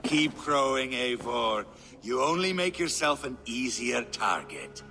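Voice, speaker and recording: Game villain: arrogant Viking, slow and forceful, mocking, with light music underneath. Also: male voice, medium pitch, lively intonation. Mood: sneering, taunting. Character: sneering, taunting male Viking villain. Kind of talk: video game dramatic acting.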